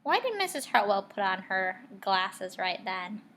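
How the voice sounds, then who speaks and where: A woman teacher reading a picture book aloud to children, expressively, pausing to ask her young listeners guiding questions. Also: medium pitch, very animated, fairly bright tone, a little hiss or hum.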